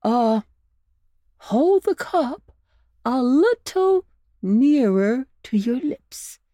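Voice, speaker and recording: A woman voicing a kindly old gentleman rabbit in a storybook, speaking in a jolly, coaxing way. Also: sits high, big pitch swings, very slow, no room sound, studio quality, pitched above the performer's own voice, exaggerated voice.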